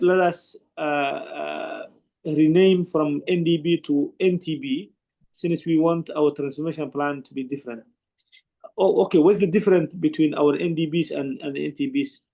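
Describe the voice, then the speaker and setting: A man, a participant in an online panel, who explains at length in an earnest, halting way with many restarts. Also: fairly high pitch, vocal fry, a little mellow. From Somalia. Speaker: conference participant asking a question.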